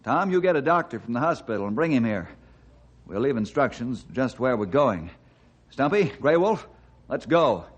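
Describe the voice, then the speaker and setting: A man's voice: mid-range voice, big pitch swings, moderate pace, slightly rough. A man playing an earnest, commanding ranger leader in an old-time radio adventure. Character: decisive, earnest ranger leader, hero type. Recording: dull, hissy vintage radio recording.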